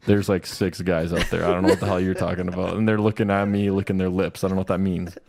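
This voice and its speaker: A man's voice: low voice, flat delivery, quick pace, vocal fry, a little mellow. A man co-hosting a casual podcast, explaining his ideas in a rambling, conversational way. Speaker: podcast co-host. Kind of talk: casual chat about video game movies.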